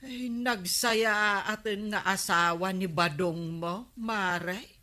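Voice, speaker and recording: A woman's voice: mid-range voice, slow, pushed and bright. A woman playing an envious, gossiping neighbour who loves shopping, full of exclamations, in an Ilocano comedy radio drama. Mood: shocked gossip.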